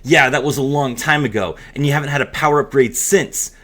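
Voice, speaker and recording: A man's voice: medium pitch, brisk, a bit bright. A man hosting a card game video, mixing casual commentary with theatrical, emphatic reading aloud.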